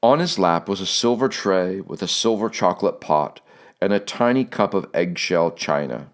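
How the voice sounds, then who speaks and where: A man's voice: sits low, animated, normal speed. A man reading a literary audiobook as scripted narration.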